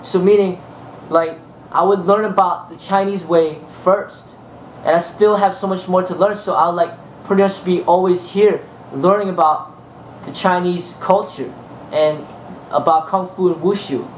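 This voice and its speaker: Martial arts teacher, man, slightly high voice, loose rambling talk, roomy sound. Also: steady tone, unhurried, slight background noise. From United States. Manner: rambling, many fillers and restarts. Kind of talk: casual monologue.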